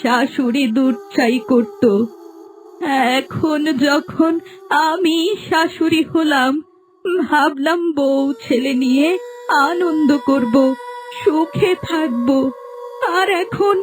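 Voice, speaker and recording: A woman voicing a sorrowful, meek old village mother-in-law in a cartoon, speaking in a drawn-out, plaintive way. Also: high voice, soft background music. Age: older. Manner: slow, drawn-out lamenting. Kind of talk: cartoon voice acting.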